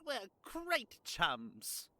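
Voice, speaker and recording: A cheerful, naive skeleton guard, voiced by a man talks with eager self-assurance. His bright voice leaps across a wide range and takes its time. It is an audio drama performance. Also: high voice, wildly swooping pitch, drawn-out words, dry recording, heavily acted. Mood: earnest.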